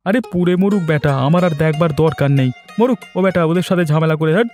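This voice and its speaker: A man voicing a scheming street-food seller in a cartoon, hurried, boastful and dismissive. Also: on the high side, a little mellow, soft background music, natural voice. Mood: smug, dismissive. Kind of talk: cartoon dialogue.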